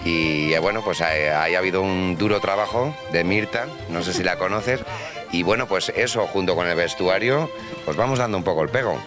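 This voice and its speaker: Low-voiced man chatting on location. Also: fairly quick. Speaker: actor interviewing on set. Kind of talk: casual on-location chat. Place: open field outdoors. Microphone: handheld reporter microphone. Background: music.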